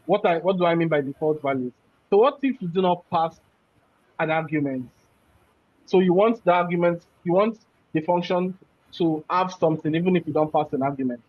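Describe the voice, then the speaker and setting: A man's voice: a little high, brisk, dry recording. Man teaching a live coding class. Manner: explains step by step, often checks with "right?". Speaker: programming instructor. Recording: muffled, dull audio.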